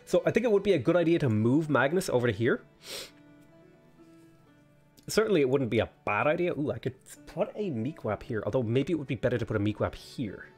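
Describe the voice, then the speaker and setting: Man, stuffy with a cold, casual game commentary, middling pitch, faint music underneath. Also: lively intonation, brisk, a little mellow, breathy edge. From Ireland. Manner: thinking aloud, weighing choices, many ums. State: sniffly with a cold. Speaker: let's play host.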